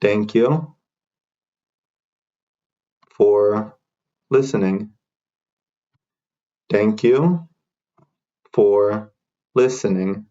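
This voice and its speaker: A man teaching beginner English, repeating short phrases slowly for learners to copy. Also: lowish voice, warm tone, muffled recording. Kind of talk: slow repeat-after-me drill.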